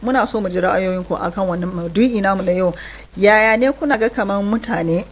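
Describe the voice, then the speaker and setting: A Nigerian woman hosting a bilingual radio talk show, putting questions to a guest and addressing listeners. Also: mid-range voice. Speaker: radio host. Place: radio studio.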